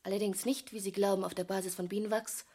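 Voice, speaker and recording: Actress as a husky, creaky, self-possessed woman painter. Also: medium pitch, little pitch movement, fast, darkish tone, natural voice. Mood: indignant, proud. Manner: cool, assertive, matter-of-fact. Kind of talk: German radio drama acting.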